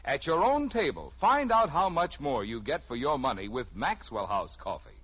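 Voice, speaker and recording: A man's voice: mid-range voice, very animated, normal speed, a bit bright, breathy edge. An American man reading a 1950s radio commercial as an announcer. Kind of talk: 1950s radio advertising pitch. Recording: muffled old radio recording.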